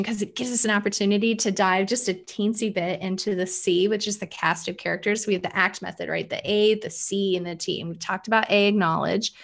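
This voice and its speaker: Woman therapist talking through anxiety tips, lively, acts out what people say. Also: medium pitch, vocal fry. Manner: animated, explanatory, acts out quoted thoughts. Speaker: therapist guest. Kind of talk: interview conversation.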